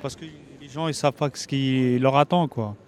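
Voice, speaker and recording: A man's voice: medium pitch, steady tone, normal speed. A middle-aged man from Algeria talking candidly in a street interview. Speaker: interviewed immigrant. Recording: noisy outdoor street, traffic.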